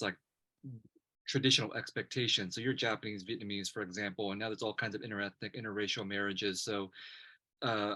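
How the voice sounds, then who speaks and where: A man's voice: lowish voice, bright, forceful tone, husky, rough recording. A man hosting a panel conversation, thoughtful and hedging with fillers as he frames questions. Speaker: host.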